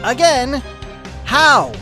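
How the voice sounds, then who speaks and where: Guy putting on a hyped male sloth witness, yelling like a gym coach, pitch bouncing all over, music humming behind. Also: big pitch swings, drawn-out words, heavily acted. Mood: pumped. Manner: loud, peppy coach-like shouting.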